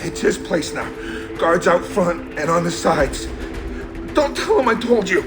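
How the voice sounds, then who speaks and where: An actor playing a frightened hired thug in a video game, agitated and pleading under interrogation. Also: male voice, sits high, sing-song, measured pace. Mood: terrified, pleading. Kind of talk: cinematic video game acting. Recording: music behind the voice.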